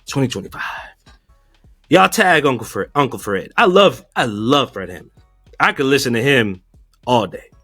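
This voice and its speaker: A man co-hosting a reaction talk show, animated and joking, swinging from low asides to loud outbursts. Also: dry recording. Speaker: podcast co-host. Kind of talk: casual reaction chat.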